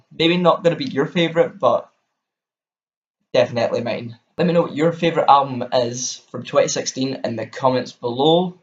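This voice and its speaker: A man's voice: mid-range voice, gravelly, highs cut off. A young Scottish man with a Scottish accent, enthusiastically rambling through a casual album review to camera. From Scotland. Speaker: music vlogger.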